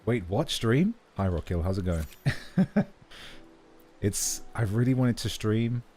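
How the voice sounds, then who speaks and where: Deep scratchy-voiced man streaming games. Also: lively intonation, takes it slowly, warm tone. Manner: chatty, rambling, self-correcting. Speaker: livestreamer. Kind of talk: casual gameplay stream chat. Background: faint game music.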